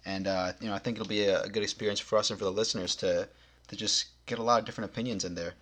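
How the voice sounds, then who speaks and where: A man's voice: fairly low pitch, steady tone, racing, a bit bright. A young man in his twenties co-hosting a casual podcast, speaking in an easygoing way with frequent "um" and "uh". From United States. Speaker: podcast co-host.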